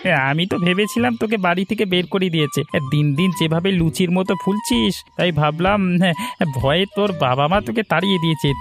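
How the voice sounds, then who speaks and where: A man's voice: high voice, fast talker, vocal fry, music in the background. A man voicing a skinny, lazy village man who teases and wheedles in a fairy-tale cartoon. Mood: mocking. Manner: mocking, wheedling.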